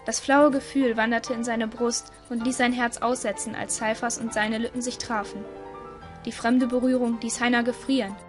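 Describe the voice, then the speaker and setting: A woman reading scripted story narration for an audio drama. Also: on the high side.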